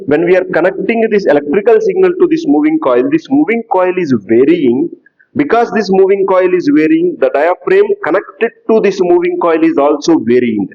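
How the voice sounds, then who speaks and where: Guy lecturing, slow, explains everything twice, kinda high voice, muffled lo-fi sound. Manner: slow, explanatory, repeats key terms. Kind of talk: classroom lecture.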